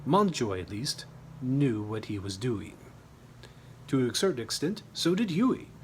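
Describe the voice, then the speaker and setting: A man's voice: mid-range voice, sing-song, measured pace, a little mellow, a little hiss or hum. A man reading his own short story aloud as a scripted narration, with a wry, tongue-in-cheek manner.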